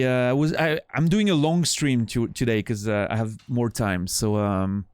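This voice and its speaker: A man's voice: mid-range voice, a little mellow, smooth, no echo, studio quality. A man from Switzerland with a Swiss French accent, a native French speaker, chatting casually with his stream viewers in a rambling, profane way full of restarts.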